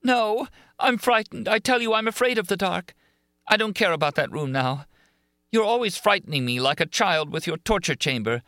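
A man's voice: big pitch swings, moderate pace, pushed and bright, dry recording, clean studio sound, exaggerated voice. Man putting on a frightened young woman. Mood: frightened, pleading. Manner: high pleading voice.

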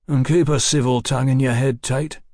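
A stern policeman from a storybook played by a male narrator. He is sceptical and watchful, giving dry, curt warnings in a medium-pitched voice. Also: fairly even intonation, fairly quick, a little mellow, no room sound, lightly acted. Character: wary, gruff policeman companion, stern and sceptical.

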